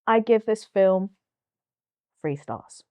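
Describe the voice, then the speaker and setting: A woman's voice: medium pitch, animated, slow, warm tone. A woman with a Cockney accent reviewing a film on her own channel, frank and opinionated. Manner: frank and opinionated, with occasional fillers. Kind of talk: solo movie review to camera.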